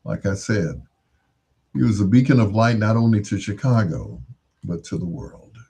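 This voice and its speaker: A man's voice: on the low side, lively intonation, normal speed, warm tone, slightly rough. An American man hosting in a casual, rambling monologue, musing aloud and posing rhetorical questions. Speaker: radio-style host.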